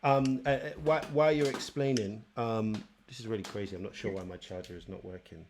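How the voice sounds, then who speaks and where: A man hosting an interview and asking questions in a hesitant way, with many pauses and restarts. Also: fairly low pitch, steady tone, a little mellow, a little hiss or hum. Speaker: interview host. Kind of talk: casual interview.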